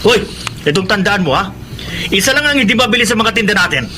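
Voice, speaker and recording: Radio drama villain: abusive grocery boss, Filipino accent, piercingly high pitch, barking and berating. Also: male voice, lively intonation, quick pace, a little hiss or hum. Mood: scolding, scornful. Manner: berating, taunting, barking repeated questions. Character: abusive, bullying male grocery boss, hot-tempered. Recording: loud music behind.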